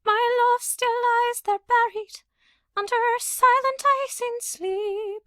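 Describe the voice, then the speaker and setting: A woman singing a mournful, slow ballad in the manner of a lullaby. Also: very high voice, a bit bright, no echo, clean studio sound.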